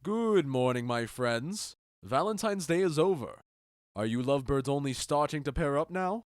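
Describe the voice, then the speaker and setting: A man's voice: on the high side, lively intonation, moderate pace, close to an everyday voice. A man playing a genial, commanding duke in a Shakespeare audio drama, stately and authoritative. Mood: amused, curious.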